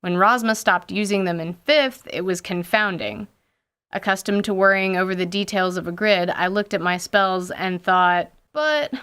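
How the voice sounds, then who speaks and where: A woman's voice: a little high, a bit bright, clean tone. A woman narrating a scripted personal story with wry, casual asides and light swearing. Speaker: podcast host.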